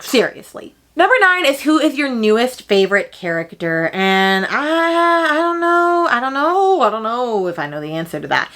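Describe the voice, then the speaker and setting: A woman's voice: medium pitch, fairly bright tone. A woman book vlogger chatting casually to camera in a gushing, emphatic, slangy way with dramatic exaggeration. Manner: slangy, informal with frequent "like" and "okay".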